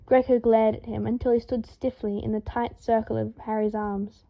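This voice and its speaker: A woman reading a story aloud in a lively, expressive way, acting out the dialogue. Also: a little high, moderate pace, gravelly, highs cut off. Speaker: fan fiction reader.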